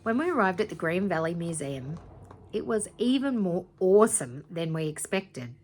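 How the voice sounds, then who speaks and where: Highly animated woman reading a storybook. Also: normal speed, slight background noise. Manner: animated, dramatic emphasis with asides to young listeners. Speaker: teacher reading aloud to primary school students. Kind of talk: expressive children's storybook read-aloud.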